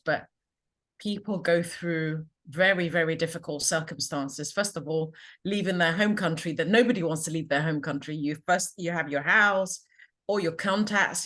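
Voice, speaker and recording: A woman's voice: low voice, fairly bright tone. Earnest middle-aged woman coach. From Ghana. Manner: reflective, earnest storytelling. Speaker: confidence coach, guest. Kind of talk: interview, personal story.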